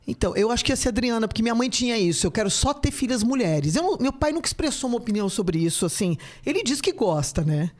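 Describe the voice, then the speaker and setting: A woman in her fifties from São Paulo, Brazil, a guest telling stories with animated, joking energy in a casual podcast interview. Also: a little high, quick pace, few pauses. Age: 50s. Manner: chatty, animated storyteller, joking.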